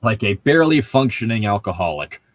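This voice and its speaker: A man's voice: on the low side, very animated, brisk. A man co-hosting a podcast, chatting casually and jokingly and rambling into tangents as he corrects himself. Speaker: podcast co-host commenting on a book. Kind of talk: casual comedic chat.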